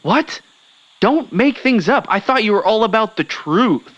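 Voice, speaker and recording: A man's voice: high-pitched, expressive, measured pace, a bit bright, lo-fi sound, exaggerated voice. A man playing an impatient, sarcastic young newspaper reporter and skeptic in a comedic audio drama. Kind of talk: comedic audio drama acting as interview guest.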